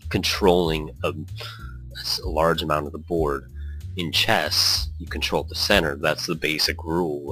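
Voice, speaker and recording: Male let's-play host, very low and crisp-edged, casual rambling commentary mixed with story narration over soft background music. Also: poor audio. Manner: rambling asides. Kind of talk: casual commentary and reading visual novel text aloud.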